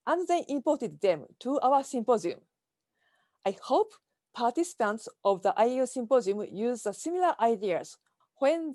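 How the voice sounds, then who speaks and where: A woman with a Japanese accent delivers a prepared conference keynote over a video call. Her voice sits a little high and moves with lively pitch, and her delivery is careful and measured. The sound is dry and close, with no room echo. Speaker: keynote speaker.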